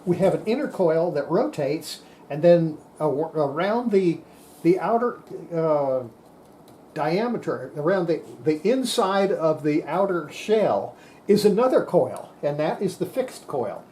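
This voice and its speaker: Mellow guy showing how gear works, folksy and thinking out loud, some steady noise behind him. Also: fairly high pitch, measured pace. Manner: folksy, plain-spoken, thinking aloud. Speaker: presenter demonstrating radio equipment. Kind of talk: informal hands-on technical explanation.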